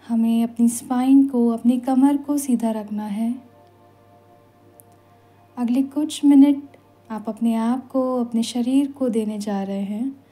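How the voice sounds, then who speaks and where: An Indian woman guides a meditation in a soft-toned, mellow voice that sits a little high. She gives soothing instructions very slowly, leaving long pauses between phrases. Music plays behind her. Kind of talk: guided meditation in Hindi with some English phrases. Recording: voice over background music.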